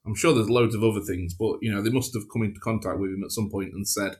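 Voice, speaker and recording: Male co-host, thirties, deadpan wit, casual remote chat. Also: fairly low pitch, fast. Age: 30s. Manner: dry, deadpan humour. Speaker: podcast co-host. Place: home, recording remotely.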